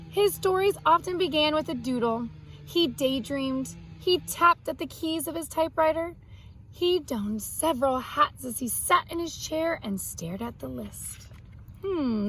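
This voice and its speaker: Female children's storytime host, high voice, expressive delivery with simple explanatory asides. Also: vocal fry, low-quality recording. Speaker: children's read-aloud host and art teacher. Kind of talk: reading a picture book aloud to children, with asides.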